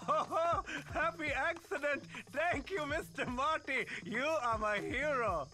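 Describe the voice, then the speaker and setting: A bossy cartoon lemur monarch. The voice sits high and swings theatrically from line to line, full of grand self-regard, with game music underneath. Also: male voice, sing-song, moderate pace, sharp tone, heavily acted. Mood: delighted. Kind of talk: animated video game acting.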